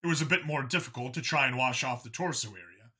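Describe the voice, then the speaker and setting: A man's voice: medium pitch, expressive, quick pace, pushed and bright, slightly rough. A man narrating a scripted science fiction story as an audiobook reader.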